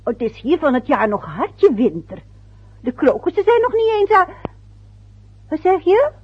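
A woman's voice: sits high, big pitch swings, moderate pace. An actress playing a flustered, sentimental middle-aged mother in a radio play, speaking hesitantly and with little exclamations. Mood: chatty, nervous. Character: flustered, sentimental mother phoning her faraway son's family. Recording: tinny, narrow-band old radio recording.

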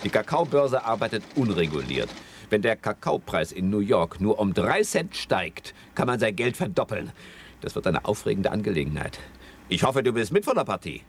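Casting: smooth-talking, grandiose broker, very animated intonation, seventies radio drama, slight background noise. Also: male voice, on the low side, normal speed. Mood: excited, scheming. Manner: grandiose, persuasive, patronising. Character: slick, cocky male commodities broker talking up quick riches. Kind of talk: 1970s German radio play acting.

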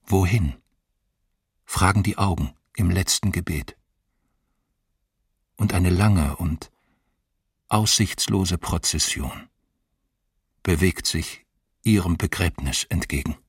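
A solemn man recites mournful verse in a radio drama. The voice is very deep and slow, set a little away from the mic in a dry space. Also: breathy edge, no echo, recorded from a distance, exaggerated voice. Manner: solemn, deliberate phrasing. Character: solemn male reciter of a mournful memorial poem. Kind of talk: poetry recitation in a radio play.

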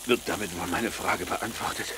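An actor playing an exhausted gentleman castaway with sinister formal politeness in a radio play. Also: low voice, fairly even intonation, moderate pace, natural voice. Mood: weary, coldly polite. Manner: formal, drawling, understated. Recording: noisy radio play, hissing water behind.

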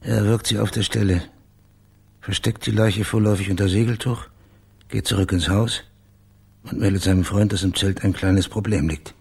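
An actor playing a blunt, dogged investigator in a radio crime drama, terse and matter-of-fact, with a husky, gravelly voice. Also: male voice, fairly low pitch, fairly even intonation, normal speed, darkish tone. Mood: brisk reasoning. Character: dogged, blunt male investigator questioning witnesses and reasoning out a murder. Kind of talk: naturalistic German radio crime drama acting.

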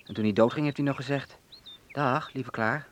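An actor playing an offhand, matter-of-fact young brother in a Dutch radio drama. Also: male voice, medium pitch, moderate pace, lightly acted. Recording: noisy, clicks and outdoor birdsong.

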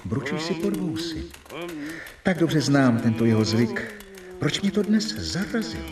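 An actor playing an earnest, observant doctor who narrates his journal in a radio drama, deliberately and expressively. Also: fairly high pitch, big pitch swings. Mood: pensive, watchful. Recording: roomy, distant, clear music behind.

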